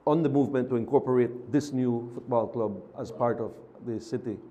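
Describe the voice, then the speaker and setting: A man who is a city mayor, speaking as a TV studio guest in measured, formal terms. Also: medium pitch, mellow. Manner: measured, formal civic phrasing. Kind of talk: TV interview guest.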